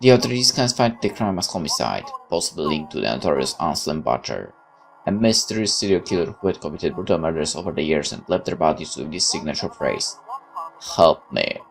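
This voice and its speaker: A man's voice: rumbling low, expressive, fairly quick, gravelly, soft background music, poor audio. A man hosting a gaming video, reading visual novel text aloud and adding casual commentary.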